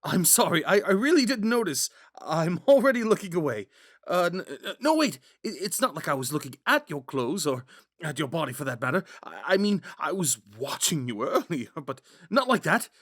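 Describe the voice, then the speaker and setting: A man playing a kind, shy young prince in a romantic ASMR roleplay, speaking gently and hesitantly. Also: fairly high pitch, sing-song, normal speed, dry recording, clean studio sound, exaggerated voice. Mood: flustered, apologetic. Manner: soft-spoken, hesitant, stammering when flustered. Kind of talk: ASMR roleplay, scripted monologue to a listener.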